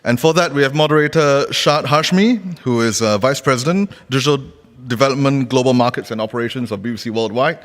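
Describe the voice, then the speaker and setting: A man acting as emcee, introducing a conference panel in an upbeat, lively manner. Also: medium pitch, a little fast. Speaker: event emcee. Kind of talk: stage announcement. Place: conference hall. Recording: reverberant hall.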